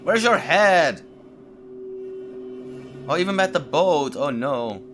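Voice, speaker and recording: A man's voice: a little high, animated, slow, noisy background. A man hosting a gaming video, reacting aloud in casual commentary with exclamations and musing questions.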